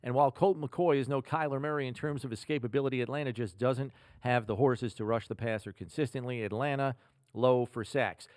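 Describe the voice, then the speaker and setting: A man's voice: mid-range voice, fairly bright tone, no echo, clean studio sound. An American man hosting a sports podcast, giving scripted analysis in a polished announcer delivery with emphatic phrasing. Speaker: podcast host.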